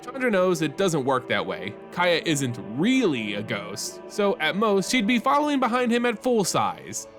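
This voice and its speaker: A man's voice: high voice, wildly swooping pitch, a little slow, few pauses, over music. A man hosting a fantasy lore channel, reading a story as dramatic scripted narration.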